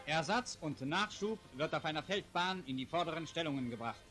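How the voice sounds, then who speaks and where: A man's voice: fairly high pitch, animated, brisk. A man reads scripted wartime newsreel narration in a formal, declamatory announcer style, on a 1940s film soundtrack. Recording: 1940s newsreel soundtrack, loud music behind. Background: loud music.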